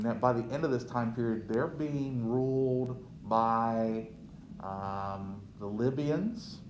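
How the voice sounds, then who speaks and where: A man giving an unhurried history lecture as a teacher, checking in with "right?" as he goes. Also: medium pitch, echoey room, a little hiss or hum, poor audio. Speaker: social studies teacher.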